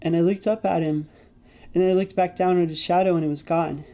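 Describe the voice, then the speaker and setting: A shy, childlike dreamer in an audio drama, with a very dark, muted voice. He tells his story in a soft, halting, even tone, tender and wistful. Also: sits high. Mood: wistful wonder. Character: shy, wistful, childlike male dreamer recalling boyhood wonder. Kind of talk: intimate storytelling monologue.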